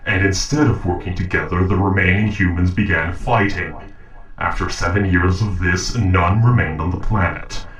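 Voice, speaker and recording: A man playing a calm, earnest young robot captor in an audio roleplay, speaking formally and precisely. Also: low voice, reverberant, recorded from a distance, rough recording. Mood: calm, explanatory. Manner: formal, measured, polite, precise. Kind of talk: scripted audio roleplay drama.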